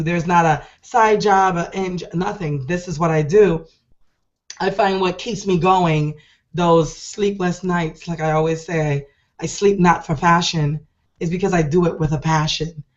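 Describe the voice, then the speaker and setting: A middle-aged woman hosting an online show, hoarse and deep-voiced from a cold, talking in a chatty, rambling, enthusiastic way. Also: dull audio. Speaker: host. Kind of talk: casual online show talk.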